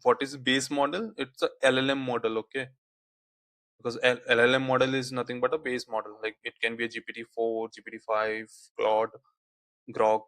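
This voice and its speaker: A man whose first language is Hindi, walking through a software tutorial on screen, peppering his speech with "okay, like" fillers. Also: medium pitch, level tone, a little fast, no room sound. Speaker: tutorial instructor.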